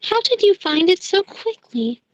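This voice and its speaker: A woman acts a stubborn schoolgirl witch, high in pitch and insistently bossy. The amateur audio play recording is dull and lo-fi, with very little room sound. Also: sing-song, unhurried, no room sound, exaggerated voice. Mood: matter-of-fact. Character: Jen, bossy, stubborn schoolgirl witch, fussing over her friend. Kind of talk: amateur audio drama acting.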